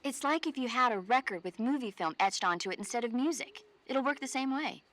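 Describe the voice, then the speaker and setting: A woman's voice: on the high side, lively intonation, a little fast, a bit bright. An actress playing a chatty, earnest female support officer and film buff in a video game, explaining things conversationally. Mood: enthusiastic.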